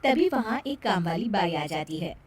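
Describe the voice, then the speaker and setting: A woman's voice: medium pitch, very animated, moderate pace, breathy edge. A woman narrator reading a scripted moral story for a children's cartoon.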